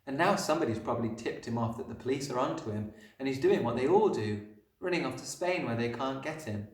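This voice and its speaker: A man voicing a calm, kindly woman teacher from a storybook in a quiet, measured way. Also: medium pitch, close to an everyday voice. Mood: calm, frank. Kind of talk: storybook character voice. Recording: roomy, distant microphone.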